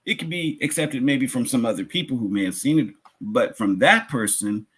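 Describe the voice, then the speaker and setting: A man with a mid-pitched voice delivers a religious lecture. He talks conversationally, asking rhetorical questions and restating his points as he explains them to listeners. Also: expressive, moderate pace, a bit bright, breathy edge. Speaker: religious teacher.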